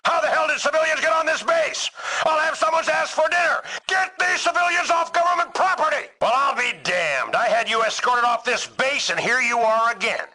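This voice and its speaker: A man playing a gruff, irritable, bullying military man at a base in a video game, officious and clipped in manner. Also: very high voice, normal speed, bright, forceful tone, heavily acted, rough recording. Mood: furious. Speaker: military gate guard and sergeant. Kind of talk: dramatic video game voice acting.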